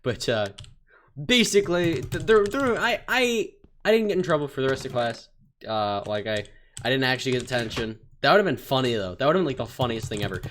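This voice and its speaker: A man's voice: medium pitch, lively intonation, brisk, a bit bright, slight background noise. A man telling funny stories while he plays a game. He rambles, stumbles over his words and laughs easily. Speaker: gaming channel creator. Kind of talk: casual storytelling over gameplay. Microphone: desktop mic.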